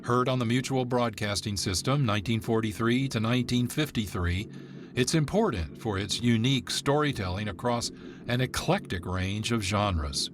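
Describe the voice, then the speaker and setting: A man who is the producer and host of a radio program, giving measured, informative narration from a script. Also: medium pitch, noisy background.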